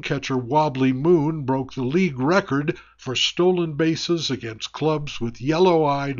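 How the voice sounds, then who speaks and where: A man's voice: medium pitch, muffled recording, lightly acted. A man playing a straight-faced baseball news reporter in a radio comedy parody. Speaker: sports announcer.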